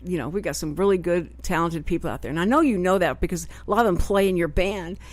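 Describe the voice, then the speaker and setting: A woman hosting a live local radio talk show, chatting in an animated way and often cutting in. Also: fairly low pitch, quick pace, no room sound. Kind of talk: casual live radio chat. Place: radio studio.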